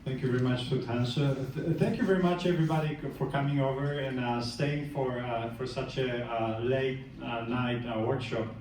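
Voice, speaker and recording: A man's voice: medium pitch, steady tone, normal speed, few pauses, a little mellow, recorded from a distance, a little hiss or hum. A middle-aged man from Poland with a Polish accent, giving a meetup tech talk with lots of hesitant fillers and restarts. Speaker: tech speaker. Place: large meeting room. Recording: echoey room, speaker off-mic.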